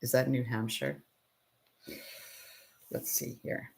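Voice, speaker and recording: Low, gravelly-voiced woman chatting live. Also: takes it slowly. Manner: relaxed, laughs often, thinks aloud while working. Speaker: potter and channel host. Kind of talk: casual live-stream chat.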